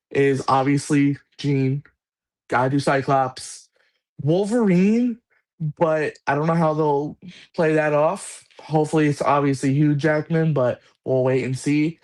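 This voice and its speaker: A man in casual chat as co-host, talking in halting rambles with many restarts. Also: fairly high pitch, a little mellow.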